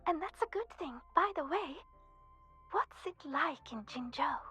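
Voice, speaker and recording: High-pitched, eager student girl. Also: animated, measured pace, pushed and bright, heavily acted. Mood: friendly, curious. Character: friendly, eager young female academy student. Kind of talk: scripted video game voice acting. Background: background music.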